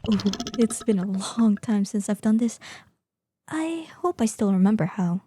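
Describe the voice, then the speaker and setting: A woman's voice: medium pitch, animated, unhurried, noisy background, natural voice. A woman voicing a proud, vain ancient female dragon in human form for a roleplay audio drama, speaking in an imperious, formal, theatrical manner. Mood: preening, vain.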